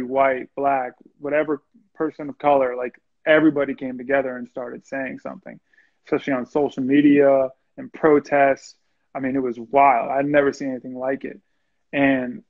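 Young man, flat steady voice, earnest, muffled lo-fi call audio. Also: medium pitch. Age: young adult. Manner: earnest, reflective. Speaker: musician guest. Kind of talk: casual video-call interview. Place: small room indoors. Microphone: video call, earbuds.

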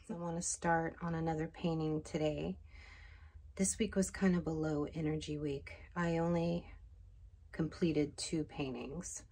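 A woman in her forties talks to the camera from a small home art studio in a low, slow voice. She rambles in a relaxed, self-talk way, still groggy from the morning. Her pitch sits on the deeper side and she takes her time. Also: breathy edge. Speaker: artist vlogger. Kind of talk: casual studio vlog.

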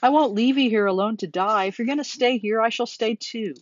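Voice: medium pitch, expressive, fairly quick, dull audio, slight background noise, natural voice. A reader voicing an earnest, emotional young male pig from a children's storybook in a pleading, dramatic way. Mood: anguished. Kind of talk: children's storybook character reading.

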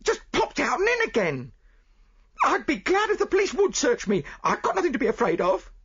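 A man reading aloud voices a young English chambermaid who answers back in a shrill, quarrelsome way. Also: wildly swooping pitch, fairly quick, a bit bright, lo-fi sound, heavily acted. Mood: indignant.

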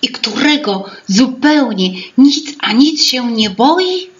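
A woman voicing a cheerful, curious little teapot creature in a squeaky, excited storybook manner. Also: takes it slowly. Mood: excited wonder. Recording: dull lo-fi home recording.

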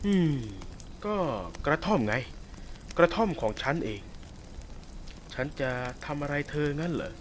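A man voicing a sadistic, possessive werewolf who taunts a captive listener in a roleplay audio drama. He speaks softly and teasingly, with a menacing edge. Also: a little high, very animated, unhurried, pauses often, slight background noise. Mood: taunting.

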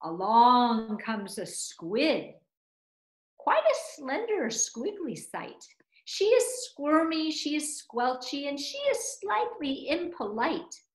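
A woman in her fifties speaking as a children's storyteller, with a highish, very animated voice. She phrases things slowly and emphatically, recorded in a roomy space at home. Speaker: storyteller and presenter. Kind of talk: expressive storytelling for young children. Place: room at home. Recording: roomy indoor echo.

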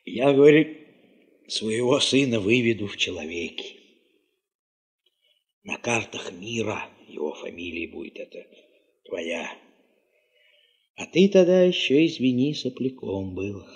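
Earthy old-style village neighbour, rambles slowly, proud and sentimental, soviet radio drama. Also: male voice, fairly low pitch, big pitch swings. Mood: mournful, reminiscing. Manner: folksy, rambling. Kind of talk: 1970s Soviet radio play acting.

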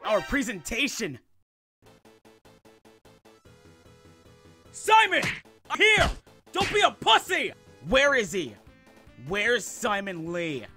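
A man voicing an awkward, excitable and cocky teenage slacker hero in a comic dub. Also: extremely high pitch, slow, slightly rough, faint music behind, exaggerated voice. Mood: heroic, shouting.

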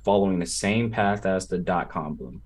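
A man being interviewed as a startup founder over a video call, explaining things casually and haltingly with many pauses. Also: low-pitched, a little hiss or hum. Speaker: interview guest, crypto project founder. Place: home room with gaming chair, on video call. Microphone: desktop microphone on a video call.

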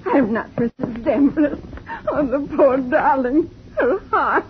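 An actress playing a distraught elderly housekeeper who is crying, in a 1950s radio drama. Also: female voice, sits high, animated, measured pace. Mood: grieving. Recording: noisy dull old radio recording.

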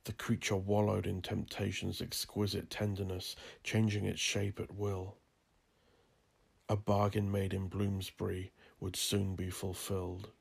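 A man narrating a dark horror story in a brooding, deliberate manner. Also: low-pitched, monotone, gravelly, husky. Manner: slow, brooding, deliberate delivery.

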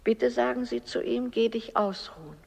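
An actress playing a kindly, humble old woman in a radio play, speaking in a gentle, patient, measured way. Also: fairly high pitch, vocal fry, breathy edge. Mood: patient, explaining. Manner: gentle, measured, plain-spoken. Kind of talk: German radio play acting. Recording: long reverberant hall.

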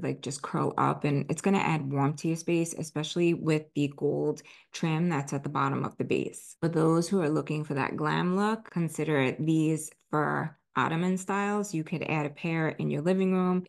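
A woman's voice: sits low, gravelly. A woman in her forties hosting a home decor video, talking through products in a conversational, explanatory way. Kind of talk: product review voice-over, casual presenting.

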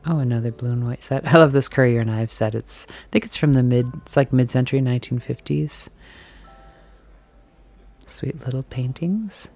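Woman vlogger, mellow tone, chatty shop walk-through, faint music underneath. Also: rumbling low, normal speed. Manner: chatty, musing aloud, drifting into soft whispers. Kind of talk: casual walk-and-talk commentary. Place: large indoor antique and thrift shop. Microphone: handheld camera mic, filming as she walks.

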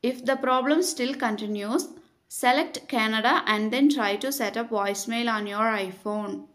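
A woman reading scripted step-by-step tutorial narration in a measured, instructional way. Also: on the high side, fairly bright tone.

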